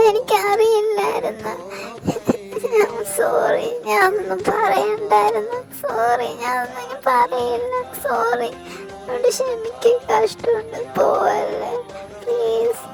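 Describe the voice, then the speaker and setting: A woman's voice: extremely high pitch, takes it slowly, vocal fry, exaggerated voice, poor audio. A woman voicing a desperate young woman who begs and cries, pleading not to be left. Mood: tearful pleading. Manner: crying, pleading. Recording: voice under loud music.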